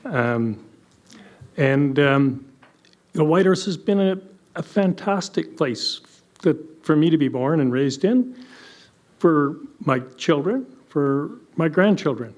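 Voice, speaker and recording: A man's voice: fairly high pitch, darkish tone. An older man born and raised in Canada, giving a friendly candidate speech with frequent ums. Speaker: council candidate.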